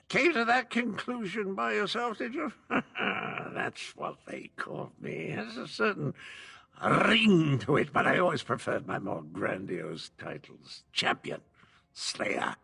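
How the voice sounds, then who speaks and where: A man voicing an undead severed head of a mad, boastful knight in a video game, gravelly, theatrical and mock-polite. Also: a little high, sharp tone, heavily acted. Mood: haughty, musing. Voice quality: gravelly, raspy. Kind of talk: video game character acting.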